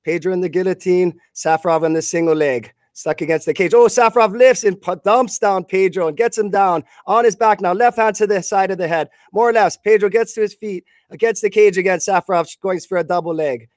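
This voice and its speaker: A man's voice: high-pitched, brisk, hardly any pauses, no echo, clean studio sound. A man doing live blow-by-blow radio commentary on a fight in short bursts.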